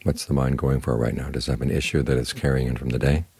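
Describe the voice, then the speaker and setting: The very low, husky man you would hear at a morning meditation sitting, calmly guiding everyone in plain words, close and dry in the ear. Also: quick pace, no echo. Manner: calm, instructive, plain-spoken. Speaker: Buddhist monk, meditation teacher. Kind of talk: short spoken Dhamma talk guiding meditation.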